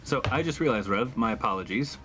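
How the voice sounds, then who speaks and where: Male tabletop player, medium pitch, casual and courteous, talks through his actions. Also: expressive, a little fast, sharp tone, faint music behind, poor audio. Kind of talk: casual in-game discussion.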